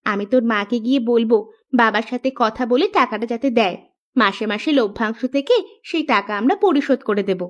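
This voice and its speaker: A woman voicing a sharp-tongued, sensible young village wife in a cartoon, with a bossy, practical manner. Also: a little high, normal speed, muffled recording, natural voice. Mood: practical, planning. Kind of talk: cartoon dialogue.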